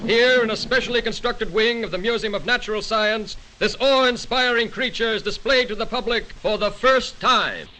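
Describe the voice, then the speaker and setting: A man's voice: sits high, moderate pace, hardly any pauses, bright, forceful tone. A man with an American accent narrating dramatically in grand 1940s newsreel style on a noisy vintage cartoon soundtrack.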